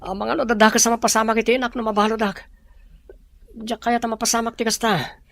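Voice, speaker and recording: A man's voice: very high voice, little pitch movement, brisk, creaky, fairly bright tone, no room sound, clean studio sound, full character voice. An actor with a Filipino accent playing a remorseful, ashamed young man in a radio drama. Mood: pleading.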